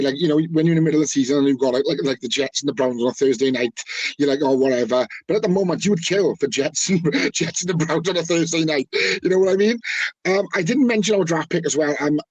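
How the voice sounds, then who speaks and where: A man's voice: on the high side, a little mellow, dry recording, poor audio. A man talking football casually as a podcast guest, rambling and enthusiastic with many fillers. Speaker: guest, team fan.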